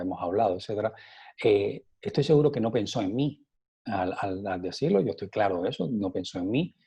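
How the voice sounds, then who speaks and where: A middle-aged Cuban man, a scientist, answering interview questions in a halting way with many fillers and repeated words, his accent softened by years in Spain. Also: fairly low pitch, husky. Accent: Cuban Spanish, softened by years in Spain. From Cuba. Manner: animated, rising emphasis on key points.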